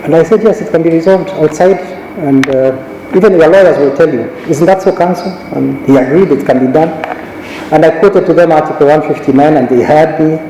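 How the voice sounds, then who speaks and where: A middle-aged man from Kenya, a judge, giving a measured, anecdotal conference talk with frequent restarts. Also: fairly high pitch, warm tone, slight background noise. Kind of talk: conference speech. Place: large conference hall. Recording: echoey hall recording.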